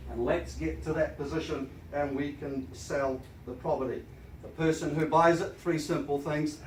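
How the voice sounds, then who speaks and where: A man with a New Zealand accent, an auctioneer with a croaky voice, reading formal auction terms briskly and then selling the property with enthusiasm. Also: a little high. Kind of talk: formal auction preamble and sales pitch. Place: auction room with audience. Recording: echoey room, mic at a distance, faint noise.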